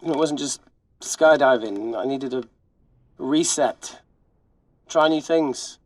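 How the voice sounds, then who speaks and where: A man's voice: medium pitch, takes it slowly, a little mellow. An actor playing a flippant, wisecracking male scientist in a sci-fi film, talking in a casual, natural way. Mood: guarded. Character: breezy, wisecracking male scientist back from a break.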